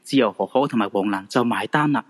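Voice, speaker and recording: A man's voice: on the low side, fast talker. A man with a Hong Kong Cantonese accent narrating a radio drama in scripted, dramatic storytelling style.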